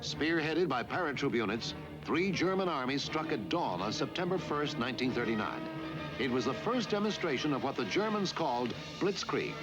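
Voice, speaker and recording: A man reading scripted historical newsreel narration in a measured, formal delivery. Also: mid-range voice, very animated, highs cut off, loud music behind the voice. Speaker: documentary narrator.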